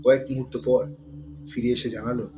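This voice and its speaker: A man's voice: medium pitch, level tone, dark tone, soft background music, rough recording. Suspenseful male thriller narrator. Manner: measured, suspenseful. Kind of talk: dramatic scripted thriller narration.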